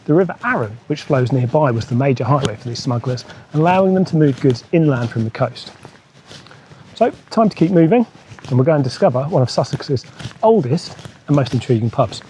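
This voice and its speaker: A man's voice: mid-range voice, expressive, a little fast, dark tone, slight background noise, low-quality recording. A man hosting a motorcycle travel vlog, narrating local history and legends in a storytelling style.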